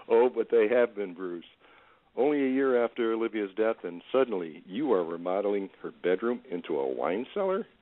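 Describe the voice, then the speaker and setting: A man's voice: lowish voice, lively intonation, moderate pace, close to an everyday voice. A man playing a suspicious, drunk older food critic in an audio play. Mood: mocking. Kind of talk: audio stage-play acting. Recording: tinny narrowband phone-like line.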